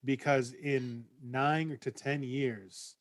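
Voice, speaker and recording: A man's voice: mid-range voice, steady tone, drawn-out words, crowd noise. A man on a casual discussion panel, making wry, joking criticisms. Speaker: podcast panelist. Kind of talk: casual chat.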